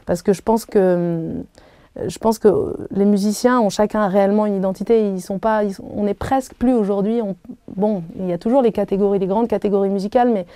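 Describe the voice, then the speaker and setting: Woman, thirties, native Arabic speaker, thoughtful interview answers, warm tone, dry sound. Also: mid-range voice, fairly even intonation, brisk, no echo. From Algeria. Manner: thoughtful, many hesitations and restarts. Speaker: singer being interviewed. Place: hotel room.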